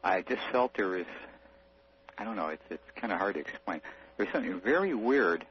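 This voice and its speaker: A man's voice: low voice, lively intonation, fairly quick. A man calling in to a radio show, telling a personal story in an earnest, rambling, casual way. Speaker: radio phone-in caller. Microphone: telephone. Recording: muffled phone line, faint hum and noise.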